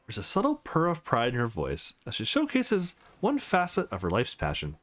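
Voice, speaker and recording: A man's voice: medium pitch, wildly swooping pitch, moderate pace, fairly bright tone. A man narrating a visual novel aloud as a let's play host, reading expressively and sometimes correcting a slip. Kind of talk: expressive read-aloud of game narration and dialogue. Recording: music playing behind the voice.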